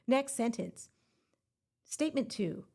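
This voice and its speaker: A woman hosting an exam-prep podcast, teaching a scripted grammar lesson in a playful, expressive teacher's manner. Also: a little high, slow, creaky. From Florida, United States. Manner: playful, expressive teacherly delivery with quizzing questions.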